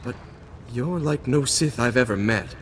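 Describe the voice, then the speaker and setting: A man's voice: medium pitch, lively intonation, unhurried, a little hiss or hum, natural voice. A man voicing a solemn Jedi knight in reverb. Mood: softened, earnest. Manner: solemn, formal, weighty delivery. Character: stern, conflicted middle-aged Jedi knight, long lovelorn. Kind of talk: dramatic video game acting. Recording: long hall reverb, music behind.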